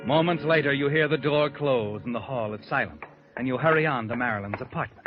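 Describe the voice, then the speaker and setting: An actor playing a sardonic, ominous narrator with a dramatic, insinuating delivery in 1940s radio mystery style. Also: male voice, medium pitch, a little fast, lightly acted. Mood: tense. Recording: lo-fi 1940s radio recording, music behind.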